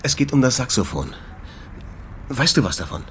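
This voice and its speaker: A man's voice: medium pitch, big pitch swings, normal speed, a bit bright, slight background noise. A man playing a harried, irritable jazz club owner in a German radio drama, impatient, curt and nervy. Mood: impatient probing.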